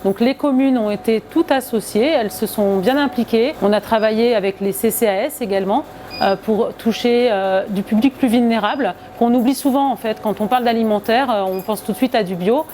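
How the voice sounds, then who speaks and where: A woman's voice: fairly high pitch, fairly quick, dark tone. Woman explaining a project, ambient noise behind. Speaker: local authority project representative. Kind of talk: explanatory interview talk.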